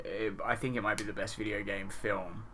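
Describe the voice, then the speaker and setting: Bright, lowish-voiced man on a podcast. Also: fairly even intonation, brisk. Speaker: podcast panelist. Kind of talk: casual chat.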